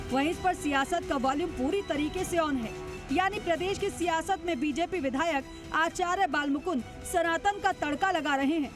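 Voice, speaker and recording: A woman reading a scripted news report voice-over with dramatic, emphatic delivery. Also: very high voice. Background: music under the voice.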